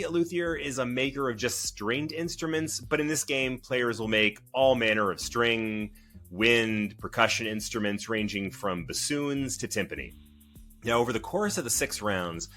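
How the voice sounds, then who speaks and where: A man's voice: lowish voice, sharp tone. A man co-hosting a board game podcast, reading a prepared explanation in an articulate, descriptive presenter's manner. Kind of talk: scripted explanatory narration.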